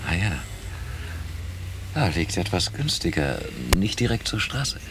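Male, very low and expressive: an impulsive, teasing boyfriend on holiday, radio play on hissy cassette. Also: unhurried, exaggerated voice. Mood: casual. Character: German holiday traveler Frank, impulsive, amorous, teasing boyfriend. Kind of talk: naturalistic radio drama dialogue.

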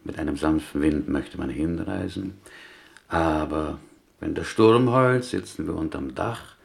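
A pious small-town prisoner from Galicia in a radio drama. His voice is low and gravelly, and he shapes his inverted sentences deliberately, with a gentle, wry plaintiveness. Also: full character voice. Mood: wistful, wry. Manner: deliberate, melodic phrasing with inverted word order. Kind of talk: German radio play acting.

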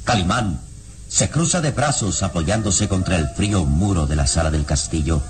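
A man narrating a vintage radio serial in a solemn, deliberate, theatrical style. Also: low voice, lively intonation. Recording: old radio serial with loud music bed.

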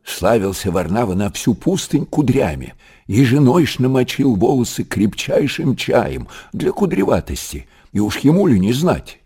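An actor narrating a monastery tale in a radio play, lyrical and measured, with dramatic pauses. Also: male voice, medium pitch, big pitch swings, warm tone, breathy edge, exaggerated voice. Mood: hushed, storytelling.

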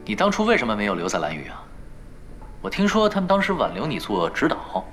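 Man acting as veteran pro gamer, teasing, persuasive, unhurried, lively intonation, audio drama, faint music underneath. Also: medium pitch. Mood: probing. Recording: background music under the dialogue.

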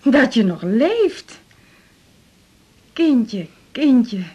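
A woman's voice: fairly high pitch, sing-song, extremely slow, a little mellow, dull audio, heavily acted. An actress with a Netherlands Dutch accent playing an anxious, affectionate middle-aged mother in a radio drama, fretful and hesitant. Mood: affectionate, fussing. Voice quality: husky, breathy.

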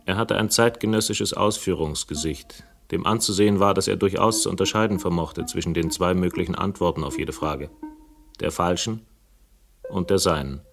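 Deep deadpan crime story narrator, ironic, faint music behind, radio play. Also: male voice, normal speed, vocal fry. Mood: wry. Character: wry, laconic male narrator of a detective story.

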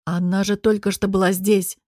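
A woman's voice: mid-range voice, flat delivery, moderate pace, darkish tone, no room sound, clean studio sound, natural voice. A woman voicing an irritable, bossy man in audiobook dialogue, brusque and demanding. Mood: irritated, demanding. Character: irritable, bossy man coming round after knockout.

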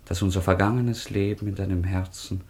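A man's voice: low-pitched, steady tone, a little fast, darkish tone, husky. An actor playing an ardent, melancholy young nobleman, speaking earnestly and lyrically in a radio play. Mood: earnest, wounded. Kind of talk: classic radio play acting.

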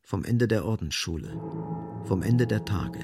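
A man's voice: lowish voice, expressive, measured pace, over music. A man narrating a literary radio drama in a grave, dramatic storytelling manner.

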